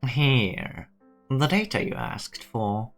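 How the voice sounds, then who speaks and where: A man playing a cold, logical, condescending scientist in a fan dub, with a measured, cynical manner. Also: medium pitch, very animated, fairly bright tone, faint music behind, full character voice. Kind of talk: dramatic fan-dub voice acting.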